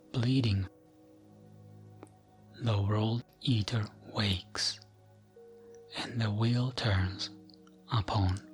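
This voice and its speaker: Slow, hushed male ASMR storyteller. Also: medium pitch. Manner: gentle, soothing, hushed, often slipping into whisper. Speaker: ASMR gaming narrator. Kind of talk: ASMR storytelling, scripted narration of fantasy lore.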